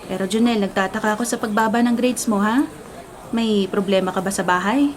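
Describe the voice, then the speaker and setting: A woman's voice: medium pitch, fairly quick, close to an everyday voice. A woman with a Filipino accent playing a caring, orderly schoolteacher in a radio drama, speaking in an instructive way. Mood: gentle, concerned. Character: caring, orderly female schoolteacher of young children. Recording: clearly noisy background ambience.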